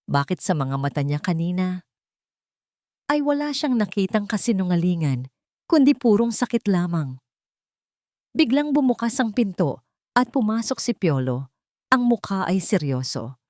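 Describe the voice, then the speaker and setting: A woman narrating a scripted dramatic story in a solemn, emotive, measured way. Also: medium pitch, big pitch swings, a little mellow, no echo, clean studio sound.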